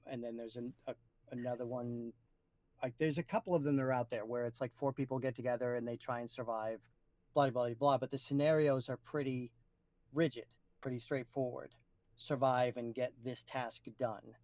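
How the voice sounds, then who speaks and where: A man's voice: mid-range voice, fairly even intonation, moderate pace, darkish tone, no room sound. A man hosting a podcast, chatting casually and explaining things in an informal way. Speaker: podcast host.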